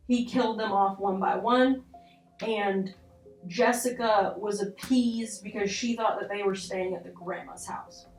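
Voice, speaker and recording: Young woman commentating casually in an echoey room. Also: mid-range voice. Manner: informal, sweary, self-deprecating asides. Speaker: video essay host. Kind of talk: casual horror analysis commentary. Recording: roomy echo, distant mic, music underneath.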